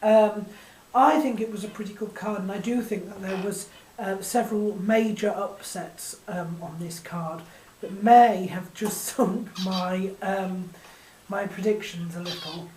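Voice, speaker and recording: A woman with a hoarse voice talks through a recap in a loose, hesitant way, filling gaps with ums and uhs. Her voice sits mid-pitch for a woman. The room is echoey, with a faint hiss behind her. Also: fairly even intonation, unhurried, warm tone. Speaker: fight card recap vlogger. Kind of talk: casual spoken recap.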